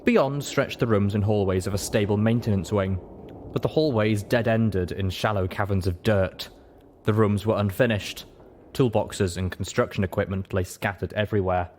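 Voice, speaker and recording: Male story narrator, low register, scripted first-person reading with faint noise behind. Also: moderate pace, a little mellow. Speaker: narrator of a fan fiction reading.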